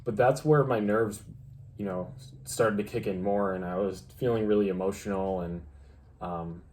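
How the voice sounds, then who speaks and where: A young man co-hosts a casual storytime vlog in a low voice. He talks haltingly and restarts often, with faint noise in the background. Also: darkish tone. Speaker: vlog co-host. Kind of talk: casual storytime chat with a partner.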